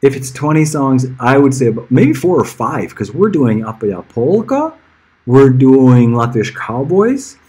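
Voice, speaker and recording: A middle-aged man talks casually to viewers on a livestream. His voice sits at an average pitch with a slightly husky grain. He is informal and easily sidetracked, wandering between half-finished thoughts. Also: very animated, moderate pace, darkish tone. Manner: rambling, informal, easily sidetracked, chuckling now and then. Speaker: musician livestreaming to friends and fans.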